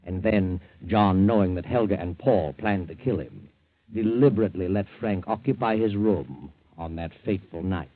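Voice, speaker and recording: An actor playing a sardonic, gloating mystery storyteller, narrating knowingly in 1940s radio style. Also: male voice, low voice, moderate pace, dry recording. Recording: tinny old radio recording. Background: faint music.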